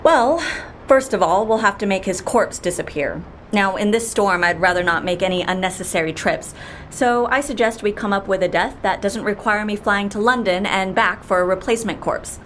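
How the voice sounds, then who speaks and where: An actress playing a shrewd, dry, businesslike fixer who asks probing, deadpan questions in an audio fiction drama. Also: female voice, mid-range voice, moderate pace, slight background noise, lightly acted. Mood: wry, decisive. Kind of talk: audio fiction drama acting, interview dialogue.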